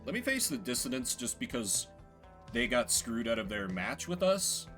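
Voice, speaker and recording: A man's voice: mid-range voice, very animated, moderate pace, fairly bright tone. A man streaming a video game, giving casual commentary as he thinks aloud. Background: game music.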